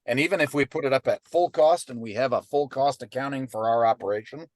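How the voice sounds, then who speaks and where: A man's voice: medium pitch, fast talker, no echo. A man presenting an online webinar as a ranch business advisor, explaining in an informal way and checking in with listeners. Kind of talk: teaching webinar, conversational explanation. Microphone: computer microphone on an online webinar call.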